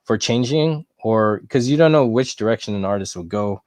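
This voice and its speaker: A man hosting a podcast in casual chat, excitable and quick to exclaim. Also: on the low side, no echo. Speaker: podcast host.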